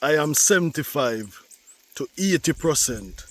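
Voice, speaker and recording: Slow-talking Jamaican man, slightly congested. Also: on the high side, animated, dry recording. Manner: unhurried, repetitive, with frequent "yeah" and "you know". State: mild congestion from a cold. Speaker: gardening vlogger. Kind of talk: casual vlog talk to viewers. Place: outdoors in a garden.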